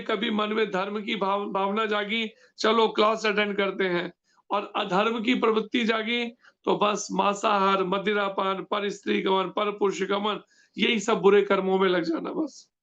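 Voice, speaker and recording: High gravelly-voiced man teaching scripture. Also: fairly bright tone, dull audio. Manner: explains slowly, quizzing listeners with questions. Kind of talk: devotional scripture lecture.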